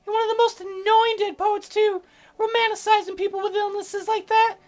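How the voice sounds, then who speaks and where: A man's voice: very high voice, flat delivery, normal speed, soft background music, voice pushed up. A man voicing a teasing, wistful, stubborn young sick girl in a visual novel, with a hesitant, pleading way of talking. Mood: scolding.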